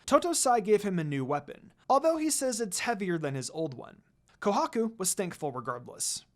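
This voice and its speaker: Lively man reading a story aloud. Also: high voice, moderate pace. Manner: animated, repeats words for emphasis. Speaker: fan fiction reader. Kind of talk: reading fan fiction aloud, then casual commentary.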